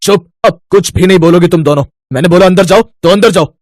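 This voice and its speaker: A man voicing a stern, worried elder brother in a cartoon story, with a husky voice and emphatic, scolding delivery. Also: high voice, fast, a little mellow, no echo, studio quality, close to an everyday voice. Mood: angry, scolding.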